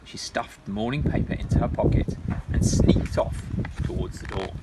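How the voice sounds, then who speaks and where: A man reading a children's storybook aloud as a storytime reader, in an expressive way. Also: fairly low pitch, normal speed, very noisy.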